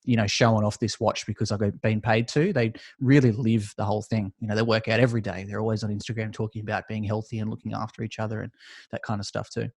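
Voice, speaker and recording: An Australian man in his thirties, a podcast guest talking in a relaxed, rambling and friendly way with many filler words. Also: lowish voice, quick pace, no echo, clean studio sound. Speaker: guest, esports business founder. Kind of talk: casual interview chat.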